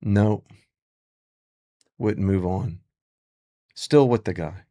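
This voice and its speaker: A man's voice: sits low, extremely slow, warm tone. A man co-hosting a faith radio talk show, chiming in with blunt, emphatic remarks. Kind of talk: casual faith discussion.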